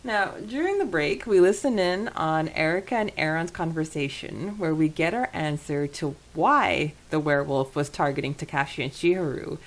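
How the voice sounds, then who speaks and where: A woman's voice: sits low, animated, moderate pace, hardly any pauses. A woman co-hosting a podcast, talking through a recap in a casual, conversational way. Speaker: podcast co-host.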